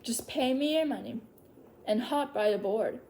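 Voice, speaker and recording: A woman's voice: fairly high pitch, moderate pace, mellow, slight background noise, natural voice, rough recording. A woman voicing a slick travelling salesman in a storybook, with persuasive sales patter. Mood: coaxing, sly. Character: Sylvester McMonkey McBean, slick fast-talking travelling salesman.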